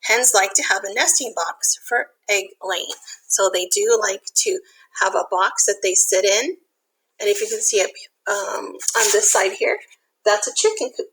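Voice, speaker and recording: A woman reading a picture book aloud to young children, expressive, with simple explanatory asides. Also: mid-range voice, normal speed, low-quality recording.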